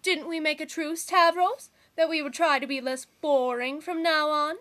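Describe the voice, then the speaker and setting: A woman's voice: high voice, fairly even intonation, moderate pace, fairly bright tone. A woman voicing a bossy, impatient young troll girl with a cruel streak, theatrical and sarcastic, in an amateur fandub. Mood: needling. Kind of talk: amateur fandub audition acting.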